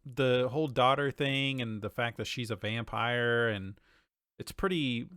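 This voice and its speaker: A man's voice: medium pitch, a little slow, a bit bright, clean tone, no echo, clean studio sound. A man co-hosting a podcast, talking casually and conversationally about video games. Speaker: podcast co-host.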